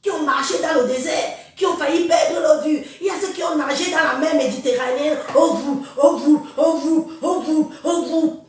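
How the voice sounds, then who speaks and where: A woman's voice: sits high, normal speed, a little mellow. A woman from Cameroon with a head cold, giving a scornful, preachy live-stream rant that addresses her listeners directly. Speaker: social media live streamer. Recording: very echoey room, far from mic.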